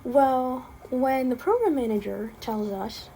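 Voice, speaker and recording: Gravelly-voiced young woman with a slight lisp. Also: high voice, animated, takes it slowly, dark tone, slight background noise, poor audio. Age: young adult. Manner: rambling, frequent ums, exasperated. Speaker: vlogger. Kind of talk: casual spoken rant.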